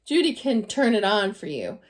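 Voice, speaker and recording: A woman voicing a slightly fierce but kindly housekeeper in a storybook, brisk and matter-of-fact. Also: medium pitch, very animated, close to an everyday voice.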